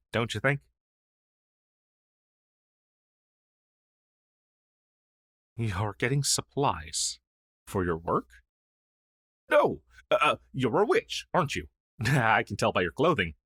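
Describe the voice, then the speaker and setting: A man acting as a male crow demon, once a witch's familiar and now down on his luck, in an ASMR fantasy roleplay. His voice is on the low side and very animated, swinging up and down as he stammers earnestly. The sound is close and dry. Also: breathy edge, no room sound, heavily acted. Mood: surprised, friendly. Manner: earnest, flustered, hesitant stammering.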